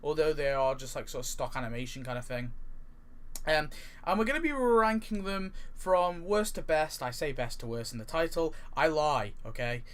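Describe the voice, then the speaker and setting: A man's voice: fairly high pitch, moderate pace, fairly bright tone, clear, resonant tone. A man giving casual, rambling ranking commentary as a video host, often hedging and repeating himself.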